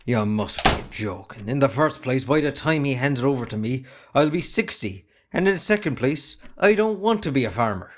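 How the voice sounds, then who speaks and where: A man's voice: medium pitch, expressive, moderate pace, few pauses, close to an everyday voice. A man playing a put-upon young farmer's son in an amateur drama, defensive and grumbling, with an Irish accent. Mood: sullen. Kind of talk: amateur stage drama acting.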